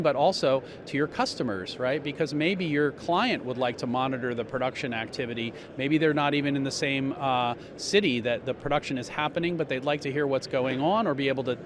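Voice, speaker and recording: A man giving a measured, technical explanation as a company spokesman in a trade show interview. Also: on the high side, a crowd in the background. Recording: noisy trade show floor.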